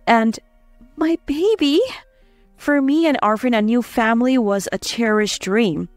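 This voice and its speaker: Brief: female narrator, higher voice, emotive scripted drama, dry sound with light music behind. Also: a little slow, dry recording. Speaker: story narrator. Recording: dry close voice over faint music. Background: soft background music.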